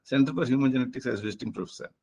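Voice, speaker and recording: A man's voice: medium pitch, very fast, darkish tone, muffled recording, a little hiss or hum. A man asking a speaker short follow-up questions on a video call, with many "yeah" and "hmm" replies. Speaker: senior colleague asking questions.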